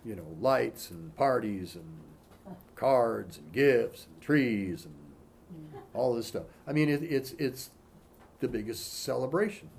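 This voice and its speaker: Male pastor, conversational teaching, reads scripture aloud, loose asides, lots of fillers, mid pitch. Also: animated, slow pace, gravelly, darkish tone, slightly rough. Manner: loose, with frequent "you know" fillers.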